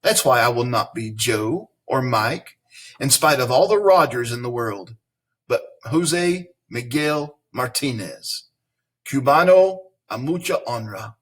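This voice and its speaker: A man reading a children's picture book aloud, pausing to explain the story and ask young listeners questions, and going back to fix his own misreadings. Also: mid-range voice, animated, fairly bright tone, husky.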